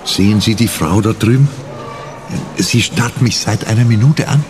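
A man acts out a vain, aging gentleman crook in a radio play. His low voice is pompous and self-dramatizing, hungry for fame, with faint noise behind it. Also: moderate pace, natural voice. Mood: self-important. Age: older. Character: vain aging ex-criminal craving fame again. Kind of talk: German-language radio play acting.

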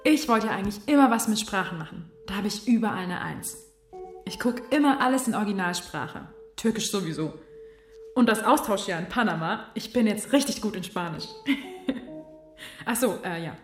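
An actress playing a guileless young intern, talking casually and candidly, with digressions, in a radio drama. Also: female voice, mid-range voice, expressive, moderate pace, roomy sound, soft background music, lightly acted. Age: young adult. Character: chatty, guileless young female tax-office intern. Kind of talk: naturalistic radio drama testimony.

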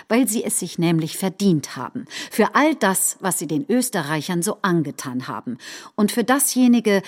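A woman acting in a radio play, reciting a populist politician's speech in a deliberate, rhetorical manner. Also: medium pitch, a little mellow, natural voice. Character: combative, folksy populist politician rallying supporters.

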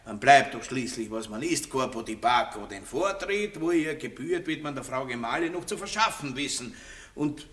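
Ein Schauspieler mit österreichischem Akzent spielt in einem Hörspiel einen großspurigen, lüsternen Landbaron mittleren Alters, der schwadroniert und prahlt. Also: male voice, mid-range voice, lively intonation, moderate pace, a bit bright, natural voice. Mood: pompous. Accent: Austrian. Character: boorish lecherous middle-aged country baron. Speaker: radio play actor. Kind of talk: 1960s radio drama acting.

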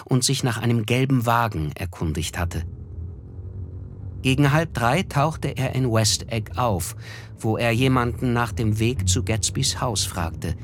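An actor playing a reflective, melancholy narrator in a literary radio play, speaking in a measured, solemn way. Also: male voice, medium pitch, animated, slight background noise, lightly acted. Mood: grave, measured. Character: reflective, melancholy male narrator recalling a tragedy.

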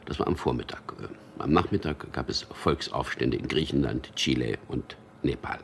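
Very deep-voiced, wry lover. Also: male voice, animated, moderate pace, creaky, a little hiss or hum, exaggerated voice. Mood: dry, ironic. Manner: dry irony, intimate and conversational. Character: Peter, wry cynical man telling mock news to lover. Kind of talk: German radio drama acting.

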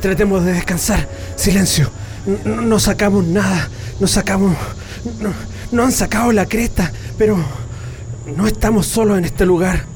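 An actor playing a frightened, protective Chilean railway worker held prisoner, hushing and stammering in a radio drama. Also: male voice, high-pitched, moderate pace, a little hiss or hum, close to an everyday voice. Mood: anxious, hushing.

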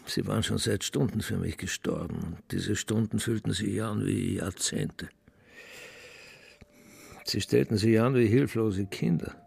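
An actor playing a bitter, contemptuous old businessman father telling his story in a scornful, deliberate radio-drama monologue. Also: on the low side, darkish tone, full character voice. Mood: cold contempt. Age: older. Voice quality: gravelly, creaky.

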